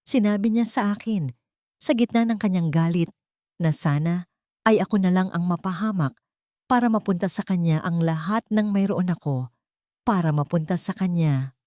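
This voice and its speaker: Woman narrator as troubled young wife, low voice, confiding, uneasy, audio drama diary reading, dry sound. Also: normal speed, mellow, no echo, close to an everyday voice. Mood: hurt. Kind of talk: dramatic first-person storybook reading.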